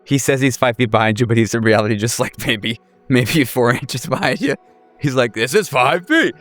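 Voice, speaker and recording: A man speaking as game master, improvising horror narration and casual chat in a halting way, often rephrasing himself. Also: mid-range voice, fairly bright tone, no echo.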